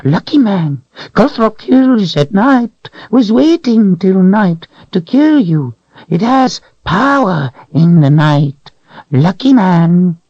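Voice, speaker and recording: A sly, hairless creature whines and hisses in broken speech, its voice cracking very high above the reader's own. It swings wildly between pleading and grasping. The recording is dry and a little muffled. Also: male voice, big pitch swings, takes it slowly, darkish tone, no room sound, full character voice. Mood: whining. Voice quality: shrill whining, broken speech. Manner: whimpering, pleading, hissing.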